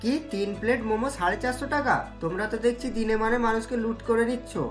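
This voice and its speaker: A young married man from a cartoon tale, doting but easily riled , voiced by a woman. The pitch sits well under the narrator's, and the delivery is conversational and emphatic. Music runs beneath. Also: steady tone, brisk, close to an everyday voice. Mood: indignant. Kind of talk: cartoon story dialogue.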